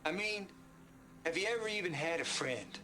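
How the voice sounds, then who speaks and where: A man's voice: high voice, animated, moderate pace, sharp tone. A man playing a boisterous, irreverent programmer in a video game, with a Southern US accent and a theatrical, teasing drawl. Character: boisterous, folksy, irreverent male prankster programmer. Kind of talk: video game cutscene acting.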